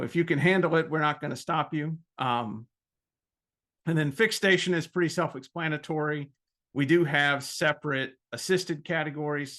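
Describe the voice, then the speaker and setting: A man giving an informal explanatory presentation as a club president, hesitating often with halting phrasing and frequent ums. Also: a little high, fairly bright tone.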